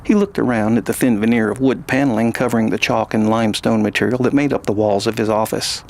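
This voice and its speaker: A man's voice: fairly low pitch, fairly even intonation, fast, vocal fry, a little mellow. A man hosting and narrating a scripted science fiction story. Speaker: host and narrator.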